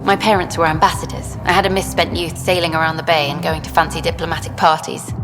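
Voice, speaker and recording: An actress playing a flirtatious, worldly journalist in a video game, talking in an intimate, teasing way. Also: female voice, deep voice, flat delivery, fast talker, close to an everyday voice. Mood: confiding. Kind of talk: video game dialogue acting. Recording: hall reverb with music behind.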